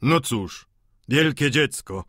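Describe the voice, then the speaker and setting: A man's voice: medium pitch, animated, fairly bright tone, dry recording, studio quality, pitched above the performer's own voice. A man voicing a sly, cool, sardonic older schemer in an audiobook, drawling with irony. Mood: calm, mocking. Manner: unhurried, drawling, ironic.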